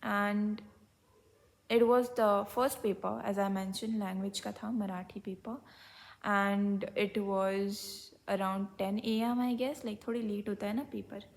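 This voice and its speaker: A young woman with an Indian English accent, a little hoarse from being unwell, telling a personal story in a candid, reflective vlog and slipping into Hindi mid-sentence. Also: medium pitch, level tone, a little fast. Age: young adult. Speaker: vlogger.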